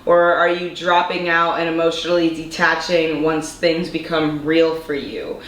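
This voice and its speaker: A woman's voice: deep voice, little pitch movement, a little slow, a bit bright. A young woman vlogger, a little congested, talking earnestly to camera in a casual monologue and throwing out rhetorical questions. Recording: echoey room with faint noise.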